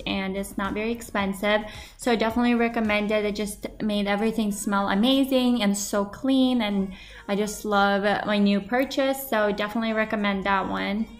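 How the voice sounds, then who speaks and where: A young woman vlogger talking casually about cleaning and the products she likes, upbeat and enthusiastic. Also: mid-range voice. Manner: enthusiastic, chatty, recommending. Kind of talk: casual voice-over product talk. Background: background pop music.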